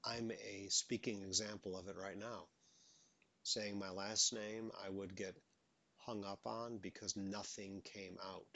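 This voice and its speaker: A man's voice: lowish voice, fairly bright tone, no room sound. A man who stutters openly, giving motivational advice as a stuttering coach. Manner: stutters openly, repeats words.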